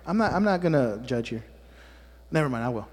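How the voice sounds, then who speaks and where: A man's voice: mid-range voice, lively intonation, fairly quick, a little mellow, long reverb tail. A man preaching a sermon as pastor to his congregation, a native Spanish speaker who teases people with joking asides and keeps checking in with "amen?". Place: church sanctuary.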